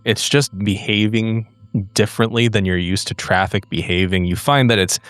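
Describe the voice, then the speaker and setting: Male game master, lowish pitch, deliberate suspenseful horror narration in broken phrases, dry close sound. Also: fairly bright tone, smooth, no echo.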